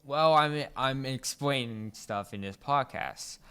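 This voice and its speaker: A young man hosts a casual podcast. His voice is smooth and clear at a medium pitch. He talks in a conversational, somewhat halting way, feeling out his sentences as he goes. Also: bright, forceful tone. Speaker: podcast host.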